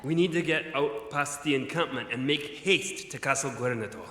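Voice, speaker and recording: A man's voice: medium pitch, normal speed, pushed and bright, close to an everyday voice. A man plays a resolute military leader in a fantasy radio play. His mid-range voice is formal and commanding, giving urgent orders amid strong echo. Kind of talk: audio drama acting. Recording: echoing cave-like reverb.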